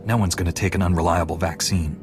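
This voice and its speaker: A man's voice: low-pitched, fairly even intonation, fast talker, soft background music, natural voice. A man acting a solemn, resolute warrior monk in a video game, formal and composed. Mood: calm, resolute.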